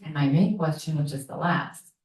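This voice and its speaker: A woman whose first language is Arabic, asking questions from the audience in a halting way. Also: low voice, a little mellow. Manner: halting, with fillers. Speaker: audience member. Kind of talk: asking questions at a pitch event. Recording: roomy hall, distant mic.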